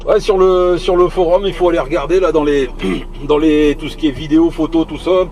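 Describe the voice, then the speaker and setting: A man's voice: fairly high pitch, steady tone, brisk, darkish tone. A man chatting casually on CB radio while driving, hesitant and often repeating himself. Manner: hesitant, repetitive phrasing. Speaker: CB radio operator, channel host. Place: inside a vehicle on the road. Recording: noisy vehicle cabin, CB radio ambience.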